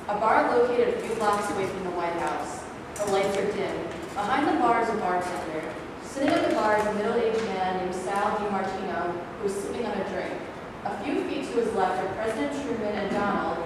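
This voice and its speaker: Distant, noisy hall sound. Casting: female narrator of stage directions, medium pitch, scripted read. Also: moderate pace. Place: live reading in a large echoing room. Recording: very echoey noisy hall, from far off.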